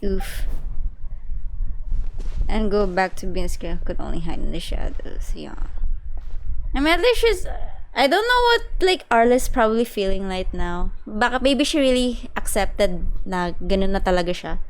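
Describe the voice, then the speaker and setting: A woman's voice: medium pitch, very animated, moderate pace, slight background noise, low-quality recording. A woman with a Filipino accent giving casual live reactions as a game streamer, chatty and switching between languages. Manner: chatty, mixes English and Tagalog.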